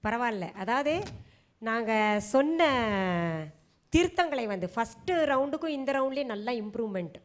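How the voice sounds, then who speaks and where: A high-pitched woman gives spoken feedback as an audition judge. She is frank and instructive, explaining her assessment to contestants. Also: animated, normal speed, long pauses.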